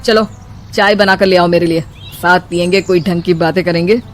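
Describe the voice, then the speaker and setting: A woman's voice: fairly low pitch, steady tone, brisk, soft background music, voice pushed down, lightly acted. Casting: a woman as a laid-back cartoon husband, casual, brushing things aside. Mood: dismissive. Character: easygoing, dismissive husband, adult son of the household. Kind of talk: animated story character acting.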